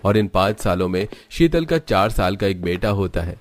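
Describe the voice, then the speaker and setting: A man's voice: sits low, very animated, moderate pace, no echo. A man reading scripted storybook narration for a cartoon tale.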